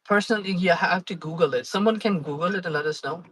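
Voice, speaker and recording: A man co-hosting a casual live-stream chat, relaxed and joking, often trailing off mid-sentence. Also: high voice, fast, breathy edge.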